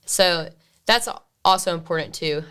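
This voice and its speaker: Low-voiced woman in earnest conversation. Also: measured pace, bright, forceful tone, smooth. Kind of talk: conversational discussion.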